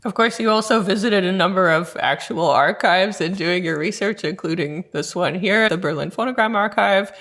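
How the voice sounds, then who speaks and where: A man's voice: sits high, moderate pace, hardly any pauses, sharp tone. A man interviewing a guest on a podcast, posing considered questions in a conversational style.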